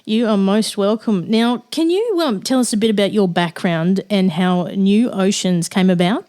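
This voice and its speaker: A woman's voice: mid-range voice, moderate pace, warm tone. A woman hosting an interview podcast, presenting and addressing her guest. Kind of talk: scripted introduction and interview.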